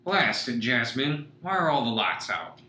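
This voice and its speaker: A man playing a gruff, suspicious working-class Southerner in a satirical radio play, blunt and blustery. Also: medium pitch, big pitch swings, unhurried, pushed and bright. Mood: irritated, suspicious. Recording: echoey room with faint noise.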